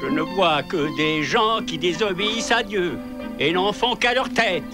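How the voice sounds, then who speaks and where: An actor voicing a cranky, stubborn, self-righteous prophet in a cartoon, grumbling and protesting. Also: male voice, sits high, a little slow. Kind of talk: animated cartoon dubbing. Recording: loud music behind the voice.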